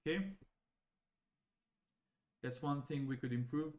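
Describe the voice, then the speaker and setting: Young Serbian-born man, native Serbian speaker, walking viewers through code while thinking aloud in halting steps, mid-range voice. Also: mid-range voice, steady tone, darkish tone. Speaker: AI educator. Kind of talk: live coding walkthrough.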